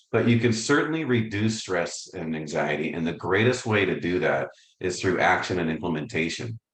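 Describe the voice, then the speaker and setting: A man's voice: deep voice, fairly bright tone, reverberant. A man giving an upbeat, conversational coaching presentation on a video call. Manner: upbeat, conversational, self-deprecating. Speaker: real estate coaching presenter. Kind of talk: webinar presentation.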